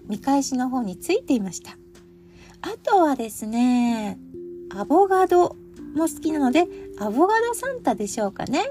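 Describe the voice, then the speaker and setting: A woman's voice: high-pitched, big pitch swings, moderate pace, dark tone, soft background music. A middle-aged woman hosting a podcast alone, chatting politely and speaking directly to her listeners. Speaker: podcast host introducing picture books. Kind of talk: chatty solo podcast talk.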